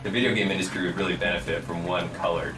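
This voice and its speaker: A man's voice: low-pitched, little pitch movement, fast. A man giving casual developer commentary over gameplay, cracking dry, wry jokes. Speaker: game developer. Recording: roomy, distant mic, game music behind.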